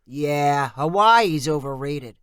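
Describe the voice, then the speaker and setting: A woman's voice: low voice, animated, slow pace, fairly bright tone, clean tone, no echo, studio quality, full character voice. A woman voicing an opinionated middle-aged uncle in a storybook, blunt and dismissive.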